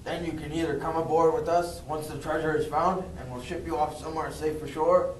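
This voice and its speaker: A man acts out a scheming pirate for a puppet show. He bargains in a folksy, threatening way, a little high in pitch, heard from off in an echoey room. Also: steady tone, a little fast, close to an everyday voice. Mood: bargaining, threatening. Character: scheming male pirate, smooth negotiator making threats. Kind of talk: amateur puppet show acting. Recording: echoey room, distant mic, faint noise.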